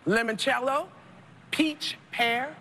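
A woman in her thirties hosting a TV game show, playful and quick with slang and jokes. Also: on the high side, slight background noise. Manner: playful, lively, Southern-tinged slang like y'all. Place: large studio set of a supermarket. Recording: TV broadcast over loud music. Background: loud game show music.

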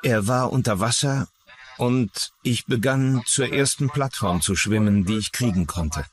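A man's voice: mid-range voice, a little slow, close to an everyday voice. A man voicing a shaken young deckhand in a documentary voice-over dub. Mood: shaken, recounting. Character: shaken young deckhand recounting a sea disaster.

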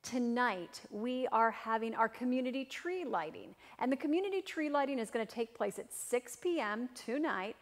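Female pastor for church service announcements and readings, higher pitched, long reverb. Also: expressive, normal speed, few pauses.

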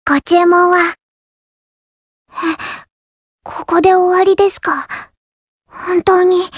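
A woman voicing a shy, polite, earnest young girl from a coffee house in an anime mobile game, soft-spoken and reserved. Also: very high voice, no room sound, studio quality. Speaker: game character voice actor. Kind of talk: scripted anime mobile game character lines.

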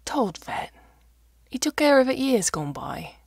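Storybook role: blunt rural farmer played by a woman, gravelly, rustic dialect. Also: high-pitched, big pitch swings, measured pace, a little mellow, no echo, clean studio sound, pitched above the performer's own voice, heavily acted. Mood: matter-of-fact. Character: gruff, blunt old Yorkshire mammoth farmer, male.

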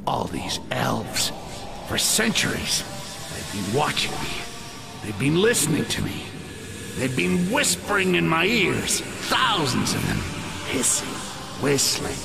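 An actor playing a bitter, tormented old goblin in a video game, rasping and muttering agitatedly in broken phrases. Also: male voice, on the high side, sing-song, takes it slowly, loud music behind the voice, heavily acted. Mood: tormented, brooding. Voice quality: croaky, rasping. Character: tormented foul-mouthed old male goblin, obsessive and bitter. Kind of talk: video game character acting.

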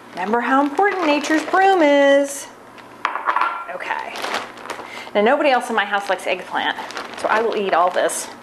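A woman's voice: sits high, poor audio. A woman in her forties hosting a casual cooking demonstration in a large home kitchen, chatting upbeat while she preps food with her hands. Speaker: cooking show host. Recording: audible background noise.

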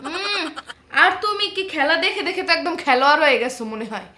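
A woman's voice: very high voice, expressive, quick pace, bright, forceful tone, full character voice. A woman playing a feisty housewife in a home comedy skit, argumentative and sarcastic. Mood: scolding. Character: feisty bickering housewife demanding the TV remote. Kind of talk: amateur domestic comedy skit. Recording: roomy home echo.

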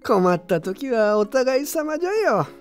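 An actor playing a gruff but kindly old pottery mender with brusque old-man speech, in a Japanese audio drama. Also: extremely high pitch, sing-song, measured pace, soft background music, heavily acted. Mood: kindly, reflective. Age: older.

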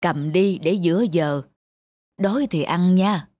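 Gentle elderly grandmother. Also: lowish voice, slow pace. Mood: caring. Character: elderly, caring, self-sacrificing grandmother street vendor. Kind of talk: cartoon voice acting. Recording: music behind the voice.

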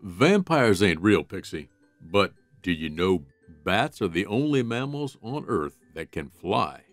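A man playing a big, world-weary guard dog in a gruff, deadpan cartoon voice. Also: deep voice, unhurried, dry recording, faint music behind, exaggerated voice. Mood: matter-of-fact. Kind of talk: comic dub cartoon acting.

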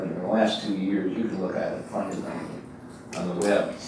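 A man's voice: lowish voice, a little mellow. An older man giving a conversational, emphatic keynote lecture at a university, pacing in a large lecture hall. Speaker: guest lecturer. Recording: echoey hall, distant mic, faint noise.

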